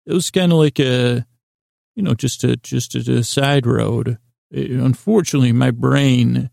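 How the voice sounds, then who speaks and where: A man hosting a bedtime podcast in a rambling monologue, mumbling and stumbling apologetically through halting, meandering, self-deprecating asides. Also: medium pitch, creaky, a little mellow, dry recording, clean studio sound. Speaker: podcast host. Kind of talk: rambling bedtime monologue to help listeners sleep.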